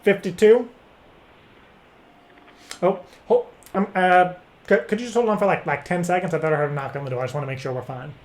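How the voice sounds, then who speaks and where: A man making a prank phone call, rambling in an earnest act with hesitant, halting phrasing. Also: sits high. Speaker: prank caller. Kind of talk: improvised phone prank chat.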